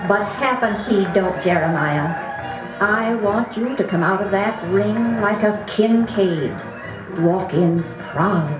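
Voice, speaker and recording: An actress as a proud, devout old granny with a rural American accent, folksy and unhurried. She sounds like 1940s radio drama, recorded in an echoing room with loud music. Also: mid-range voice, muffled recording, lightly acted. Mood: hopeful, stern. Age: older. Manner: folksy, slow, earnest.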